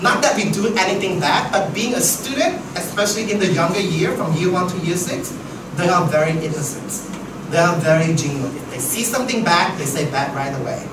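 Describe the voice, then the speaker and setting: A man's voice: sits high, expressive, a little fast. A man from Southeast Asia, for whom English is not his first language, leading a teacher workshop in a chatty way that keeps asking "right?". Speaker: teacher trainer. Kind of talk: workshop discussion. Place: classroom. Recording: echoey room, far mic, noisy.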